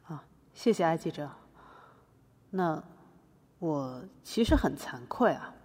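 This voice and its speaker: Casting: woman playing an earnest activist artist on trial, low husky voice, argumentative delivery, podcast drama in a reverberant hall. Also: a little mellow. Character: defiant, guilt-ridden activist artist defending herself in court. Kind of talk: scripted podcast courtroom drama monologue.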